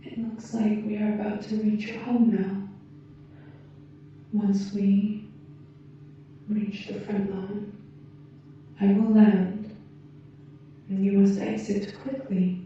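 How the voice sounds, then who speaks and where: A woman playing a gentle, wise alien rescuer in an ASMR roleplay, speaking softly and earnestly in measured, slightly formal phrases. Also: mid-range voice, little pitch movement. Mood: warm, encouraging. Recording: muffled, very echoey, distant, faint background noise.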